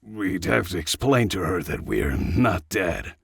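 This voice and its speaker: A man voicing a weary, gruff, stubborn male soldier in an audiobook story. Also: low voice, animated, a little slow, no echo, clean studio sound, full character voice. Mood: stubborn, grim.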